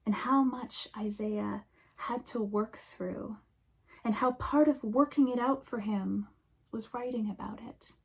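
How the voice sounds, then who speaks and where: A woman minister speaks in a slow, hushed way, her voice husky with a gravelly edge. She sits somewhat high in pitch and often sinks to a near whisper as she reflects. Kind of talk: reflective sermon.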